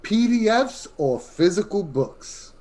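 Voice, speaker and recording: A man's voice: sits high, very animated, slow pace, a little mellow. A man interviewing a guest in a casual, conversational way as a podcast host.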